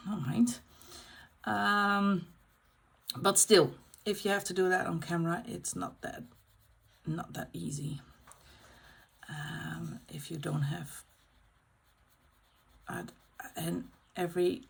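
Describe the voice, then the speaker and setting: A middle-aged Dutch woman chatting in English, her second language, as she colours on camera. Her voice is gravelly and husky, and she talks slowly and unscripted, often stopping to search for the next word. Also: on the low side. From Netherlands. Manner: unscripted, pauses to find words. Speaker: colouring channel host. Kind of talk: casual chat while colouring.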